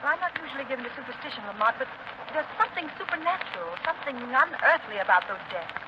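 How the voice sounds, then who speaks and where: A woman's voice: sits high, animated, fast. An actress playing an anxious, pleading young woman in a 1930s radio melodrama, with theatrical delivery. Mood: fearful, pleading. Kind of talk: 1930s radio drama acting. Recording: muffled, noisy 1930s radio recording.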